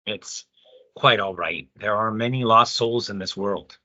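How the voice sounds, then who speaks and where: Man acting a bright-voiced, measured, moralising pastor. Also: fairly low pitch, dry recording, muffled recording. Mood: calm, forgiving. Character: measured, moralising male church leader in debate. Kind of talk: audio play acting.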